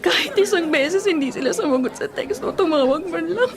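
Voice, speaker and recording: An actress in a radio drama playing a grieving, anxious young nursing student who has been orphaned, in a plaintive, emotional way. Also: female voice, extremely high pitch, expressive, fairly quick. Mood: bitter, hurt. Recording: music and crowd noise behind.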